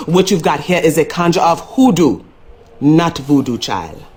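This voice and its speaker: A woman's voice: low voice, big pitch swings, unhurried, a little mellow. An actress playing a motherly, mysterious hoodoo woman with an American Southern accent in a video game. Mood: knowing, mysterious. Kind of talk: video game character acting.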